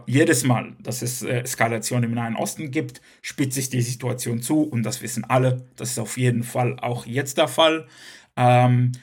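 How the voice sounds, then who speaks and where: A man, a Hebrew speaker, answering podcast interview questions hesitantly with many fillers and restarts. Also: mid-range voice. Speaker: guest.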